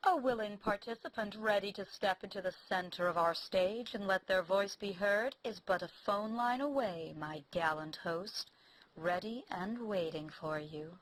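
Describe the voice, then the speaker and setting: A woman's voice: medium pitch, measured pace, close to an everyday voice, rough recording. A woman playing an eerily courteous assistant on a ghostly radio show, speaking in a flowery, formal, theatrical way. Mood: eerie, inviting. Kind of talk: theatrical horror radio acting. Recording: quiet voice with clear background noise.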